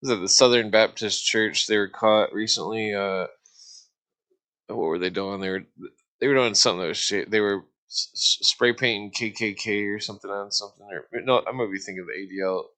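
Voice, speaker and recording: A man hosting a casual, rambling discussion, speaking haltingly and stammering over his words. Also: fairly low pitch, steady tone, bright, forceful tone.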